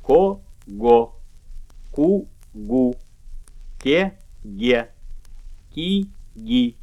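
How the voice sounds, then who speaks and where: A man's voice: mid-range voice, darkish tone, muffled recording. A man announcing lessons and reading pronunciation drills syllable by syllable. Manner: deliberate, syllable by syllable. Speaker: course announcer. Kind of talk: slow, careful pronunciation drills.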